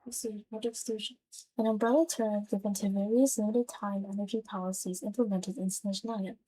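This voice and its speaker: A woman's voice: mid-range voice, fairly even intonation, fairly quick, mellow, poor audio. A woman streamer giving casual video game commentary and reading game text aloud. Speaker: virtual streamer playing a game.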